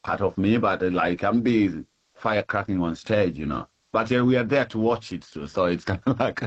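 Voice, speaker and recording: A man's voice: lowish voice, normal speed, breathy edge, muffled recording. A man from Tanzania, a musician interviewed on radio, talking in a hesitant, friendly way. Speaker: musician, guest.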